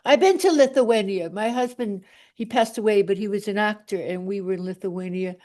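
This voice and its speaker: An older woman with a mid-pitched voice talks casually among a group. She is blunt and tends to ramble, circling back on her own sentences. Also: moderate pace, dark tone.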